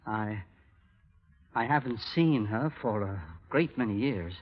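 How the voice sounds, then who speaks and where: An actor playing an uneasy, aggrieved bank employee in an old radio drama, speaking formally and pointedly. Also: male voice, on the low side, expressive, slow pace, faint music behind. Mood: uneasy, polite. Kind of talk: 1930s radio drama acting. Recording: muffled old-time radio recording.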